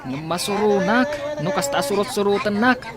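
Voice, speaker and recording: A man's voice: high-pitched, animated, normal speed. An actor with a Filipino accent playing a stubborn young male porter in a radio drama. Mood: defiant.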